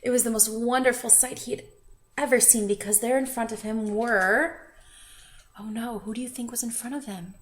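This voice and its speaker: A woman reading a children's picture book aloud expressively, with questioning asides to young listeners and drawn-out emphasis. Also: fairly high pitch, steady tone. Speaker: children's story reader.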